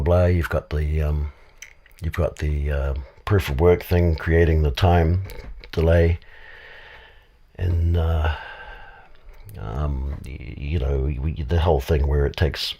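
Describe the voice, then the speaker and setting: Deep-voiced male guest with a cold, husky, thinking aloud and restarting his sentences. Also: a little mellow. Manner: rambling, many restarts and fillers. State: has a cold. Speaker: podcast guest. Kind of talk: casual discussion. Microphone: studio microphone.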